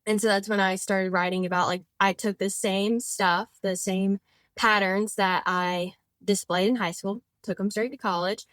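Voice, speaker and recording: A woman's voice: mid-range voice, fairly even intonation, moderate pace, fairly bright tone, dry recording. A woman in her twenties, a podcast guest and author, talking in a chatty, rambling way with lots of "like" fillers and self-corrections. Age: 20s. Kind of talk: casual conversational interview.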